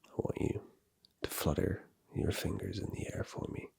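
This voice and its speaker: A man's voice: normal speed, a little mellow. A man in a whispered ASMR roleplay, commanding and teasing as he gives orders and hands out points, close to the microphone.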